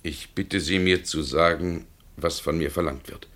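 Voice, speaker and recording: A man's voice: sits low, fairly even intonation, a little slow, a bit bright. An actor playing a brooding, reluctant man pressed into murder, grave and formal, in a solemn 1960s radio play. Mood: hushed, wary.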